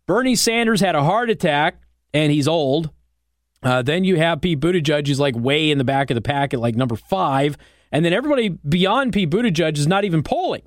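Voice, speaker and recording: An American man delivers a talk radio monologue with very lively, swinging intonation. His manner is conversational and sardonic, and the sound is dry and close on a studio mic. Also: fairly high pitch, fairly quick, no echo. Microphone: close studio microphone.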